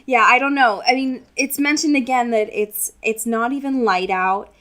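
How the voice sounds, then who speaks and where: A woman's voice: on the high side, moderate pace, fairly bright tone. Wry woman chatting casually. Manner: informal, wry, acts out characters' lines in slangy paraphrase. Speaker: podcast co-host. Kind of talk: casual book discussion, recapping chapters.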